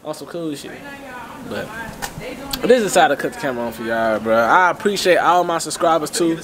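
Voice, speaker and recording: A man's voice: fairly high pitch, animated, brisk. A young man hosting a vlog, chatting casually to a handheld camera in slangy, hyped talk with repeated catchphrases. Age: young adult. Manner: slangy, hype talk with repeated tag phrases. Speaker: vlogger, host. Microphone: camera held at arm's length. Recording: handheld camera, loud background noise and chatter.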